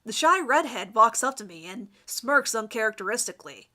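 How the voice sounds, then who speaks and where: A woman's voice: a little high, animated, moderate pace, sharp tone. A woman giving a dramatic first-person reading of her own fan fiction story.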